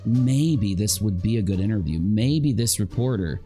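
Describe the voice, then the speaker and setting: A man's voice: fairly low pitch, expressive, normal speed, dark tone, soft background music. A man hosting a commentary show, reacting in a casual, critical way with a sarcastic, mocking and emphatic delivery. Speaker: YouTube commentary show host.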